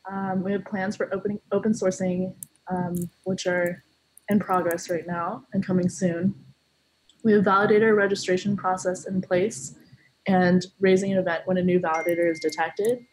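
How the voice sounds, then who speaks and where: Slightly husky woman, a little sick. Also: fairly low pitch, level tone, moderate pace, a little mellow, rough recording. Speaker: client developer giving a team update. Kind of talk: status report on a video call. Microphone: video call.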